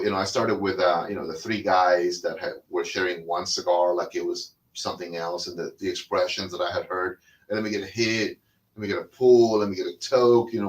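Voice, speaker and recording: A man's voice: on the low side, poor audio. A middle-aged man from Cuba whose first language is Spanish, casually hosting a cigar talk and rambling with lots of fillers and restarts while he smokes. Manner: smoking a cigar while talking. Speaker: cigar podcast host. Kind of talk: casual monologue.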